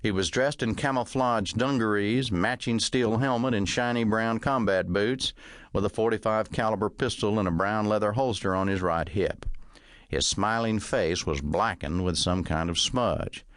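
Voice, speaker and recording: A man reading a first-person comic novel as audiobook narration, in a measured, dryly humorous storytelling manner. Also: on the low side, a bit bright, no room sound, studio quality.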